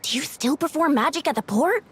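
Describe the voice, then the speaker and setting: A woman's voice: high voice, lively intonation, fairly quick, fairly bright tone. A woman playing a curious, chatty visitor in an anime game.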